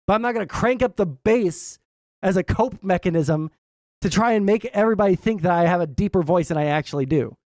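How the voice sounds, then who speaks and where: A young man with a high tenor voice, giving blunt, sarcastic reaction commentary and swearing freely. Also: a little fast, darkish tone, no room sound. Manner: blunt, sarcastic, swears often, many "all right?" tags. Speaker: commentary streamer.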